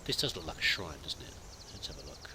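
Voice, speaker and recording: A man's voice: very deep voice, very animated, fast, background noise. A man hosting a roleplay let's play, chatting and musing aloud and reading in-game text as he plays.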